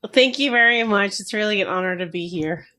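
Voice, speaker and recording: A woman's voice: medium pitch, brisk, sharp tone. A middle-aged woman, a retired army veteran from New Jersey, speaking candidly and reflectively as an interview guest, with some hesitations. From New Jersey, USA. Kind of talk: casual interview.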